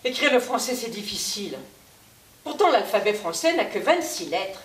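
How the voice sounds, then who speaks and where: A woman performer giving a measured theatrical recitation of testimonies on a stage. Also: mid-range voice, sing-song. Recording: echoey stage, distant mic, faint noise.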